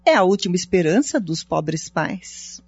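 A woman's voice: lowish voice, sing-song, a little slow, a little mellow, breathy edge, exaggerated voice. A woman playing a gossipy, pitying relative in a radio drama. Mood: gossiping. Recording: dull, dry lo-fi.

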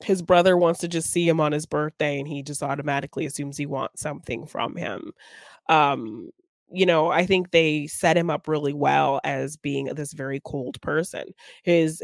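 A woman's voice: deep voice, no echo, studio quality. A woman co-hosting a film podcast, talking casually through a discussion of a movie.

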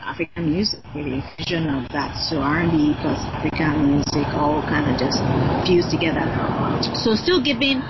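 An energetic woman of Nigerian heritage, a singer and designer, chatting freely as a podcast guest with many fillers. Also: deep voice, moderate pace, lo-fi sound, very noisy. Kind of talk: casual interview chat.

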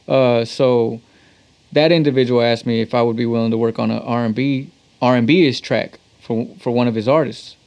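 A man's voice: mid-range voice, steady tone, normal speed, a little mellow. A man from Texas with an American accent chatting casually as an interview guest, telling stories in a slangy, laid-back way with some swearing. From Texas, United States. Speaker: musician and producer, interview guest.

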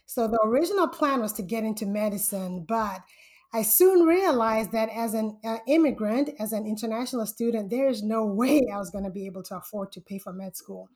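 A woman from Zambia, a guest on a podcast, telling her story in a lively way and laughing often. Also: fairly high pitch, brisk. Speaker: podcast guest, full-time stock trader. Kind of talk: casual interview storytelling.

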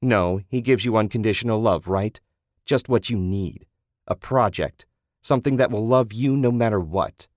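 A man's voice: on the low side, normal speed, no room sound, clean studio sound, natural voice. Man doing a hot-tempered, accusing husband. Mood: bitter. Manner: angry, accusing, curt.

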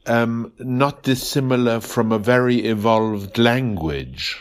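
Man, podcast host, unhurried delivery, meandering, thoughtful questions. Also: medium pitch. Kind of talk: interview on a phone call.

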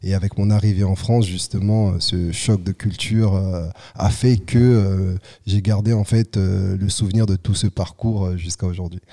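A man from Congo answers interview questions in a calm, considered manner, often pausing to find his words. His voice sits on the low side and has a very dark, mellow timbre. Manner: measured, reflective, pausing often. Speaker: guest artist. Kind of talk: casual podcast interview.

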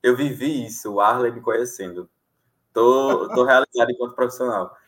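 A man's voice: medium pitch, normal speed, low-quality recording. A young man from Alagoas, Brazil, a sign language interpreter, chatting casually in an interview with frequent fillers and easy laughter. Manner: relaxed, many fillers, laughs easily.

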